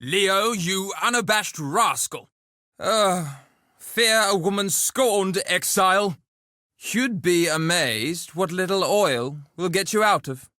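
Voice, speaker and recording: Bright, wordy, cocky duelist. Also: male voice, high-pitched, expressive, takes it slowly, dry recording, clean studio sound, heavily acted. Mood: sly, scheming. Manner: wordy, wry, flippant. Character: cocky, loquacious male duelist and syndicate schemer. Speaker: video game character voice actor. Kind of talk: theatrical game voice lines.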